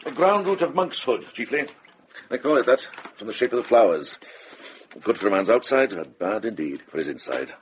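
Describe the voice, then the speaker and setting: An actor playing a wise older monk herbalist in a radio drama, measured, practical and gently admonishing. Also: male voice, on the low side, lively intonation, natural voice. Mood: brisk, careful. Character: wise older Welsh monk herbalist, kindly but brisk. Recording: dull, hissy radio drama recording.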